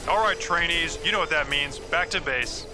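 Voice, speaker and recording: A man's voice: a little high, normal speed, music in the background, close to an everyday voice, low-quality recording. A man playing a stiff, disapproving training officer with a dry, clipped manner in an audio drama. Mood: barking orders.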